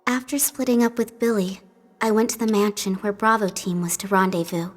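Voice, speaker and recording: A woman playing an earnest young rookie police officer in a video game, soft-spoken and uneasy. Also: medium pitch, steady tone, brisk, natural voice. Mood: weary, uneasy. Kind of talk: video game cutscene acting and narration.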